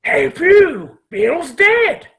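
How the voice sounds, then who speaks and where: Someone doing a jumpy pirate guy, gravelly and sharp, slow shouts, radio drama. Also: very high voice, very animated, slight background noise, heavily acted. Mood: alarmed. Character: rough, jumpy pirate henchman. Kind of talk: radio play acting.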